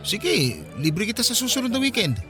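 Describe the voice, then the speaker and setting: A man's voice: high voice, huge pitch swings, moderate pace, music in the background. An actor playing an easygoing, unromantic young man talking casually with a friend in a Filipino radio drama. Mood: grateful.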